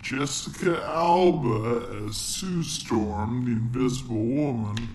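A man chatting casually on a movie podcast, drawing his words out very slowly. He is easygoing and tosses in joking asides. Steady background noise hangs behind the voice. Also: medium pitch. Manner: relaxed, slow, joking asides. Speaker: podcast co-host.

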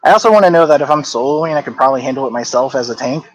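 A man in his thirties, a podcast guest, talking in a reflective, easygoing way and hedging often. His voice is mid-pitched and ordinary, with a relaxed conversational flow. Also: rapid-fire, rough recording. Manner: reflective, hedging with "like" and "I don't know". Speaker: guest, game company co-founder. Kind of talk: casual interview chat.

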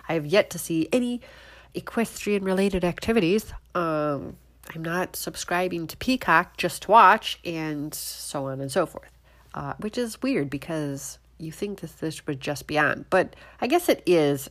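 A stuffed-up, nasal-sounding woman in her thirties talks through a casual solo show. Her pitch leaps around as she jumps from quiet mutters to loud, exasperated outbursts. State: congested, sniffling. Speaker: podcast host. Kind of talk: casual opinion monologue.

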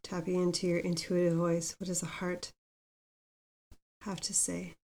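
A woman leads a guided meditation in a lowish voice with very little rise or fall. Her delivery is gentle and unhurried, with space left between the instructions. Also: monotone. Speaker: meditation guide, podcast host. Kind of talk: guided meditation with mantra chanting.

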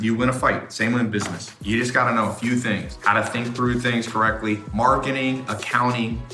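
A man hosting a casual motivational talk, blunt and animated, swearing and jumping between topics. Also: medium pitch. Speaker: host. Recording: echoey room, faint noise.

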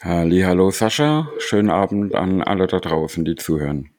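A man co-hosting a casual podcast chat, calm and matter-of-fact. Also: sits low, moderate pace, no echo, clean studio sound. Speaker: podcast co-host.

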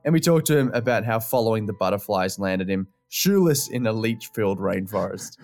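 A man's voice: on the low side, lively intonation, a little fast, a little mellow, faint music behind. A man co-hosting a podcast, introducing the episode and asking curious, conversational questions. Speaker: podcast co-host.

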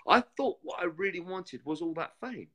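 A man's voice: high-pitched, animated, fairly bright tone. A man, a guest on a chat show, who talks reflectively and often stops and restarts his sentences. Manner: reflective, halting with repeated restarts. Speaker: guest, former reality TV contestant. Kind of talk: casual interview chat.

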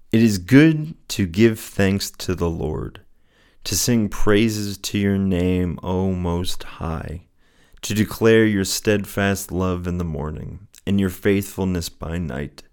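A man with a soothing voice speaking in an earnest, gently encouraging way, as the host of a personal faith show. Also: low voice, smooth. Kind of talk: casual reflective solo talk with scripture reading.

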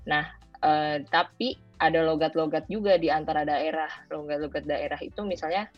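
Young female guest, Jakarta accent, low voice, informal chat sprinkled with English, music behind. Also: steady tone, moderate pace. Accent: Indonesian, Jakarta colloquial. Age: young adult. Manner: informal, mixes in English phrases. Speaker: guest, former overseas student. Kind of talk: casual interview chat. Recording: dull lo-fi audio with music.

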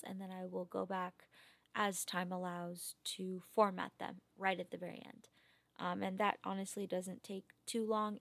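A woman's voice: lowish voice, gravelly, dry recording. A young woman talking through a casual late-night work log, tired, self-critical and methodical as she thinks aloud. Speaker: research assistant logging work progress.

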